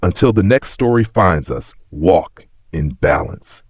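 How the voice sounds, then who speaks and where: A man with a very deep voice delivers scripted dramatic storytelling. His delivery is solemn, measured and reverent. The sound is echoey and slightly dull, with the top end softened. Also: animated. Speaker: narrator.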